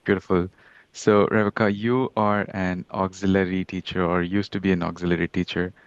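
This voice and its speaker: A man with a very low voice hosts a casual podcast interview, his phrasing friendly and a little non-native. The sound is dry and slightly muffled, with little room in it. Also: flat delivery, moderate pace, clean tone, no room sound. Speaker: podcast host.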